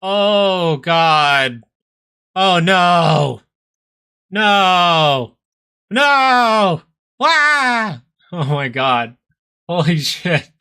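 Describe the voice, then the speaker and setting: Bright-voiced man in his thirties hosting a stock stream. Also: high-pitched, very animated, extremely slow, pauses often, clear, resonant tone. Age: 30s. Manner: chatty, jumps between charts and personal stories, answers viewer questions. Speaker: stock market livestream host. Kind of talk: casual live market commentary with chat.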